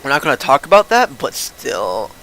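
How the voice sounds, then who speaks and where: A man's voice: mid-range voice, wildly swooping pitch, normal speed, pushed and bright, a little hiss or hum, poor audio. A young man with a lisp, chatting casually and rambling in slangy, self-mocking style as he streams a game. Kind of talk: casual livestream chat while gaming. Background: video game music.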